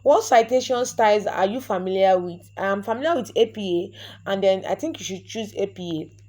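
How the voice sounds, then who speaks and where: A woman's voice: mid-range voice, a little fast, darkish tone. A young woman with a Nigerian accent guides viewers through a website sign-up. She is a native Yoruba speaker, and her delivery is chatty and instructive, full of repeated words and restarts as she clicks along. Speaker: vlogger. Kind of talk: screen-recorded tutorial walkthrough.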